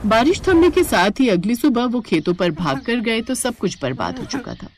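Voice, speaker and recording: A woman's voice: on the high side, big pitch swings, moderate pace, a crowd in the background. A woman narrating a scripted moral story as the storyteller.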